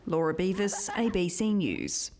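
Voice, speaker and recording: A woman's voice: lowish voice, very animated, measured pace, a crowd in the background. A woman with an Australian accent narrating a scripted news report as a reporter.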